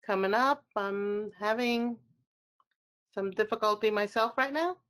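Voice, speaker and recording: A woman's voice: mid-range voice, measured pace, a bit bright, clear, resonant tone. A woman co-presenting a webinar over a video call, talking casually and informally.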